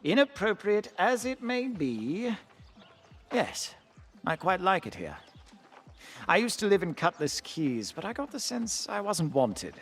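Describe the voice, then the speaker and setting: An actor playing a courtly, welcoming fantasy town leader in a video game, speaking formally and graciously. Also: male voice, high-pitched, big pitch swings, unhurried, a bit bright, soft background music, full character voice. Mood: candid, dignified.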